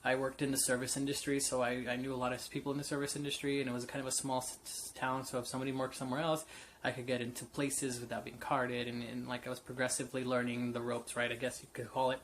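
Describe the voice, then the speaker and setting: A man's voice: mid-range voice, little pitch movement, quick pace, hardly any pauses, fairly bright tone, a little hiss or hum. A man in his late twenties telling a personal story off the cuff, candid and rambling with many fillers and restarts. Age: 20s. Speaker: vlogger. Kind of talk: unscripted personal story to camera.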